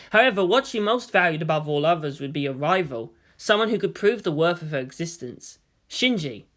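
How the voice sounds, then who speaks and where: A narrator reads prose fiction aloud at a middle pitch. The delivery is scripted and dramatic, in the manner of an audiobook of fan fiction. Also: a bit bright.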